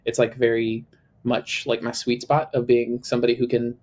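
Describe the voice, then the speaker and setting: A man's voice: monotone, normal speed, a little mellow. Chatty man with a slightly nasal voice. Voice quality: slightly high, a little nasal. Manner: chatty, filler-heavy, lots of "like". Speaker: co-host. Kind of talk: casual podcast chat.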